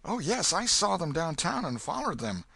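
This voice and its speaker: Casting: man doing a frightened vagabond boy, high and pleading, with a lively pitch. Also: moderate pace, vocal fry, husky, no echo, exaggerated voice. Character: scared ragged boy, pleading and jumpy.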